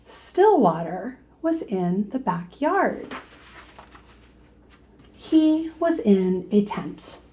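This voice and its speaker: Slow, lively-pitched woman reading a storybook. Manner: slow, expressive, addressing young listeners. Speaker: teacher reading aloud to children. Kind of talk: storybook read-aloud.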